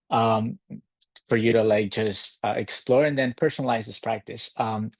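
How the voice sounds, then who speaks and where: A man from Ecuador leads a live online workshop from home, speaking over a video call in an easygoing, halting manner with plenty of ums and uhs. His voice sits at an ordinary middle pitch for a man. The sound is dry and close, with no room echo. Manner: relaxed, halting, many fillers. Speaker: workshop host. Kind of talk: live virtual workshop demo. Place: home in a city. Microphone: computer mic on a video call.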